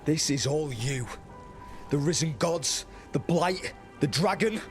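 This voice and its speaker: A bitter higher-voiced fantasy companion. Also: male voice, slow pace, soft background music. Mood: bitter, accusing. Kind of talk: dramatic video game dialogue.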